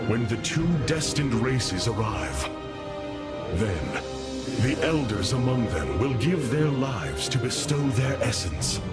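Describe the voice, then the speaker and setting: An actor playing a noble alien leader in a game cutscene, speaking gravely and formally with reverence and resolve. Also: male voice, medium pitch. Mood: reverent, hopeful. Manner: formal, grave, measured, stately. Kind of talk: dramatic game cutscene acting. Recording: voice over loud cinematic music.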